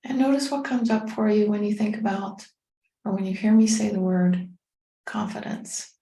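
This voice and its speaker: A woman leading a guided meditation as a teacher, speaking in a gentle, unhurried, soothing way with pauses. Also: mid-range voice. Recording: roomy, echoing sound.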